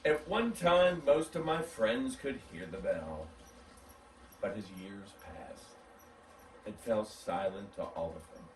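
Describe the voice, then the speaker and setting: A man reading a children's story aloud in an animated, dramatic, drawn-out way. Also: on the low side. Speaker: story reader. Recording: roomy, distant mic, background noise.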